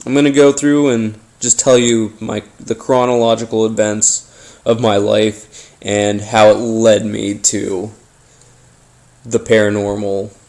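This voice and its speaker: A man hosting a paranormal podcast, telling his story informally in a halting, rambling, conspiratorial way. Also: fairly low pitch, level tone, a little mellow. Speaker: podcast host. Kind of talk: informal first-person storytelling monologue, paranormal audio drama.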